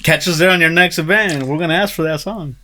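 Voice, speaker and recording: A man chatting casually as a band's bass player, informal and ribbing his bandmate. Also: fast talker, bright, forceful tone. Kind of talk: casual chat.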